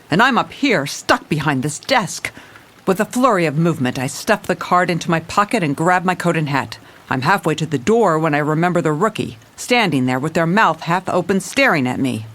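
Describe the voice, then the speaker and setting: An actress playing a jaded, wry police commissioner who narrates in hardboiled detective style in an audio drama. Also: female voice, low voice, a bit bright. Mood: intent, then determined. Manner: wry, world-weary. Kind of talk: scripted noir detective narration with dialogue.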